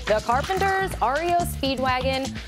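An American woman with a fairly high voice hosts a studio trivia game. She is chatty and emphatic, moving between scripted questions and personal asides. Music plays clearly behind her. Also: lively intonation, normal speed. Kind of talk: live TV quiz hosting, partly scripted. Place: TV news studio. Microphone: broadcast studio microphone. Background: clear music bed.

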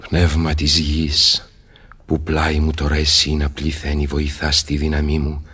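An actor playing an aged, weary, despairing scholar turned sorcerer, declaiming verse theatrically in a radio play. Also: male voice, rumbling low, pushed and bright, husky, exaggerated voice. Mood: fervent, awed. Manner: theatrical, impassioned, slow declamation.

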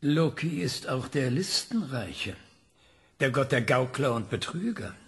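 A man's voice: mid-range voice, big pitch swings, measured pace, fairly bright tone, husky, full character voice. A man playing a sardonic, sceptical professor. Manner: sardonic, needling. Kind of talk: radio play acting.

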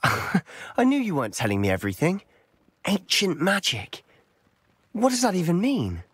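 An actor playing an eager young male wizard student, curious and quick to question. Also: high voice, breathy edge, no room sound, clean studio sound, full character voice. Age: young adult. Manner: lively, quick to question and tease. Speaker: student companion in a video game. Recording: game dialogue with soft music underneath.

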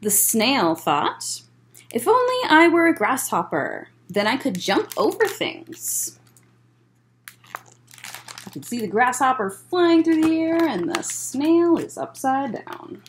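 A woman in her thirties reading a picture book aloud as a children's librarian, in measured phrases with chatty asides. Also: sits high, big pitch swings, a little hiss or hum. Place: library room, indoors.

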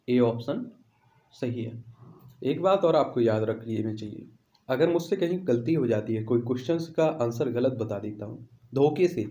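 A man's voice: mid-range voice, warm tone. A man teaching a quiz lesson, repeating questions and answers and checking in with listeners. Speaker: exam-prep teacher. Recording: roomy, echoing voice recording.

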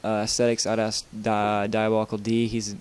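A young man with a somewhat low voice narrates a game-building tutorial over footage. He talks loosely and casually, trailing off, restarting sentences and padding with fillers. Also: monotone, moderate pace, darkish tone, clear, resonant tone. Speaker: video game tutorial maker. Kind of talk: rambling tutorial voice-over while playing.

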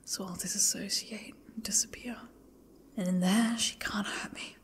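A woman playing a defiant, broken, exhausted young captive in an ASMR roleplay, speaking haltingly and often dropping to a whisper. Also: medium pitch, fairly even intonation, natural voice. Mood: weary, despairing.

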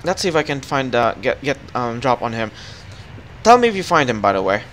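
A man talks over a co-op game at a mid pitch and gives his partner directions, stopping to restart and fill gaps with "um" and "let's see." A faint hiss sits under the voice chat. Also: very animated, fairly quick, a bit bright. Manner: guiding and giving directions, with fillers and restarts. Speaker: gaming channel host. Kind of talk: casual co-op gameplay chat.

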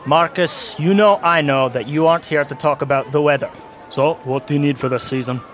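Man playing a blunt medieval toolmaker, slightly high voice. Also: fairly even intonation, brisk, soft background music, natural voice. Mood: impatient. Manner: blunt, stern, haggling. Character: gruff, bald, businesslike medieval toolmaker and trader. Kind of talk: audio drama acting.

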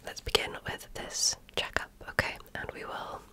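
A woman whispering softly and breathily in an ASMR medical exam role-play, speaking gently and attentively like an examiner. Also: normal speed.

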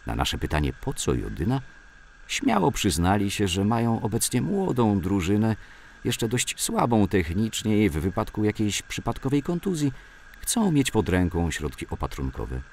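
A man's voice: on the low side, huge pitch swings, normal speed, vocal fry, a little mellow, slight background noise. A man reads scripted memoirs as a documentary narrator. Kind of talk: scripted documentary narration of sports-club recollections.

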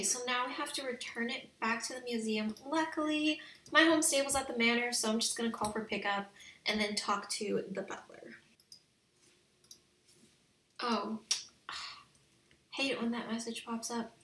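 A young woman giving casual, slangy let's play commentary on a video game in an excitable, gushing way. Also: a little high, a little slow, pauses often, reverberant, recorded from a distance, slight background noise. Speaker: video game streamer and channel host.

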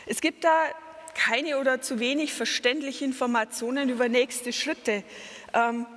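A middle-aged woman from Lower Bavaria with a Bavarian accent, a patient advocate giving a conference talk on a headset mic, earnest and explanatory, with many fillers and self-corrections. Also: steady tone, unhurried, fairly bright tone, long reverb tail. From Lower Bavaria, Germany.

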